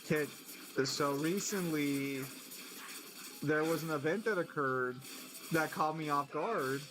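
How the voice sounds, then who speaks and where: A man from the United States streaming a game and chatting casually with friends, animated and swearing freely. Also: fairly high pitch, takes it slowly, music in the background, low-quality recording. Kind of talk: casual gaming stream chat.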